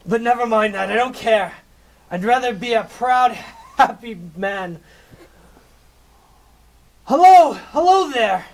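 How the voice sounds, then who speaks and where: A repentant old miser in a comic audio play, with a very high voice for a male. He speaks slowly and theatrically, full of exclamation and raw emotion. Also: expressive, heavily acted. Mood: giddy joy. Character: repentant old male miser, desperate then giddily overjoyed.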